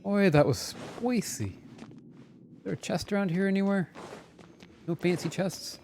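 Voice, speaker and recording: A man's voice: sits high, unhurried, darkish tone, a little hiss or hum. A man live-streaming a game, giving playful, rambling, casual commentary as he plays. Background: game music.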